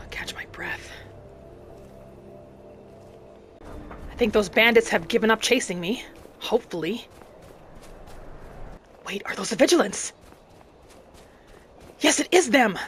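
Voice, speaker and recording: A woman voicing a vengeful young orphaned vampire hunter, narrating a video game in character with earnest drama and breathy asides. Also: medium pitch, fairly bright tone, slight background noise, natural voice. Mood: tense, winded. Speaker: roleplay gamer narrating in character. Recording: music behind the voice. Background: game music.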